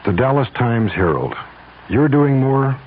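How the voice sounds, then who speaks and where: A man with a husky voice reading a scripted radio advertisement as announcer. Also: mid-range voice, very animated, measured pace, background noise. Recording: tinny narrow-band radio broadcast.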